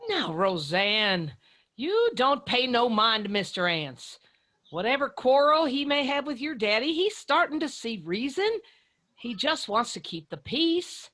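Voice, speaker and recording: Actress as bright, motherly Southern matron. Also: fairly high pitch, big pitch swings, a little slow, heavily acted. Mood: reassuring. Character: motherly, plain-spoken mountain matron. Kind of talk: radio drama acting.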